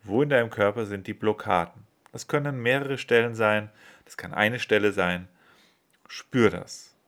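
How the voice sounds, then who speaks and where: A man leads a guided meditation with slow, calm instructions. His voice sits a little low. He speaks in a steady, soothing way.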